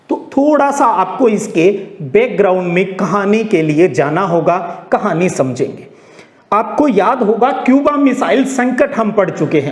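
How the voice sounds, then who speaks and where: A man's voice: high voice, darkish tone. A man teaching like a storyteller, conversational and explanatory, asking questions and answering them himself. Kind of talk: storytelling classroom lecture. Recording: echoey room.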